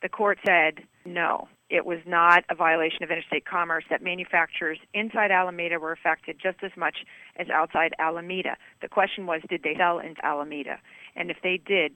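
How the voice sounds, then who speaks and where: A woman's voice: fairly low pitch, bright, forceful tone, no room sound, poor audio. A woman with an American accent, an executive director explaining things in a radio interview.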